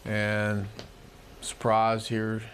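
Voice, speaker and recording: A man's voice: on the low side, completely flat delivery, very slow, a bit bright, a little hiss or hum. A man in charge of an emergency service, answering in a news interview. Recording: faint background ambience.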